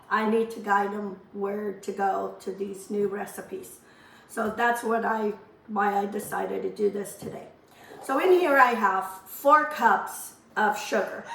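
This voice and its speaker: Hoarse, woman host; unhurried, homely kitchen chatter, slightly high voice, recorded a step back from the mic. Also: fairly bright tone, roomy sound, far from the mic, rough recording. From Azores. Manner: chatty, homely, rambling asides. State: hoarse from a lingering cough. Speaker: cooking channel host. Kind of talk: casual cooking demonstration. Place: home kitchen.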